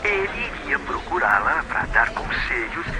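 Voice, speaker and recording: A man's voice: mid-range voice, big pitch swings, a little slow, music in the background. A man playing a callous, smug young schemer in an audio drama, mocking and conspiratorial in manner.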